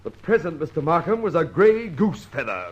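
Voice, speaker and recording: An actor playing a dogged, formal, firmly polite police inspector in an old-time radio detective serial. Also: male voice, fairly high pitch, sing-song, normal speed, highs cut off. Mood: startled. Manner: formal, insistent.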